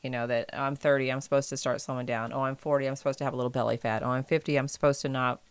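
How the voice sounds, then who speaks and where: A woman's voice: extremely low pitch, steady tone, very fast, smooth, no room sound. A woman on a podcast, talking about health in a casual, emphatic and insistent way. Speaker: podcast co-host. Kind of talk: casual chat.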